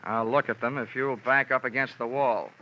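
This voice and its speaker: An actor playing a hard-boiled, wry American insurance investigator with clipped sardonic delivery in 1940s radio style. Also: male voice, medium pitch, steady tone, moderate pace, a little hiss or hum, lightly acted. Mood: tough, suspicious. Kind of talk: 1940s radio acting, detective narration. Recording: muffled old radio recording.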